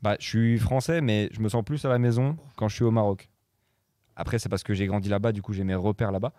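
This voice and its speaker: A young man from Morocco chats as a guest on a talk show, pausing often with fillers and restarting his sentences. His voice sits a bit low and mellow, and the dry recording keeps every word close. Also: fairly even intonation, no echo. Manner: hesitant, many fillers and restarts. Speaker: guest DJ and producer. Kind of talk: casual chat.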